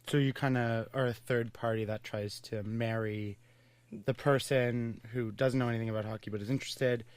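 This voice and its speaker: A man's voice: medium pitch, steady tone, moderate pace, clean tone, no room sound. A man hosting a podcast, summing up his guest's points in a casual way. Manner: listens with short "mm-hmm" and sums up the guest's points. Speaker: podcast host.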